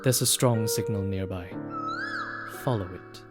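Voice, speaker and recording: A man playing a solemn, mysterious guide through ghostly ruins, narrating an audio drama in a measured, poetic manner. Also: fairly low pitch, close to an everyday voice. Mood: solemn, haunting. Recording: music under the voice.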